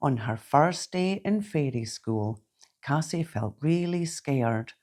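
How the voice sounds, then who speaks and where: A woman reading a children's bedtime story aloud in a gentle, theatrical, deliberate way. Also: sits low, animated. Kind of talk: expressive read-aloud bedtime storytelling in rhyme.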